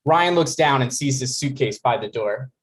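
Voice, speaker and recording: A man's voice: mid-range voice, lively intonation, normal speed. A man hosting a live table read and narrating the screen directions of a script. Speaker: host and reader of screen directions.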